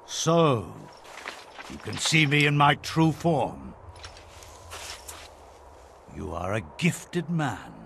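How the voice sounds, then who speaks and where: An actor playing a cruel, commanding inhuman warlord in a video game, gravelly, slow and menacing. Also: male voice, mid-range voice, big pitch swings, a bit bright, a little hiss or hum, full character voice. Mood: sinister, commanding. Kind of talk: dramatic video game villain acting.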